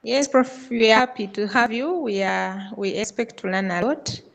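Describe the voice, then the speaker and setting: A woman's voice: a little high, moderate pace, vocal fry. A woman, a student greeting the class courteously on a video call. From Uganda.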